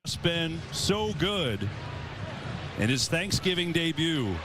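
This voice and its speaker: A man's voice: a little high, sing-song, slow pace. A man with an American accent calling live football play-by-play as the game's announcer. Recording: broadcast game audio with loud stadium noise. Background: loud stadium crowd and ambience.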